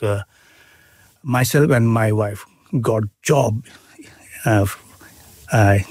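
A middle-aged man with a Bhutanese accent, speaking in an interview with many pauses, fillers and restarts. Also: on the low side, darkish tone, no echo. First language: Nepali. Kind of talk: casual interview.

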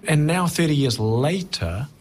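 A middle-aged man talking reflectively as an interview guest, thoughtful and halting, often rephrasing mid-sentence. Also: a little high, animated. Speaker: touring stand-up comedian, interview guest.

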